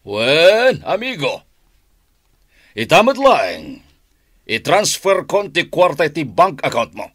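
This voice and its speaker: A man's voice: fairly high pitch, huge pitch swings, slow pace, fairly bright tone, no echo, clean studio sound, full character voice. An actor with an Ilocano accent playing a ruthless, boastful male syndicate boss in a radio drama, domineering and emphatic. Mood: scheming, satisfied. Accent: Northern Philippine (Ilocano).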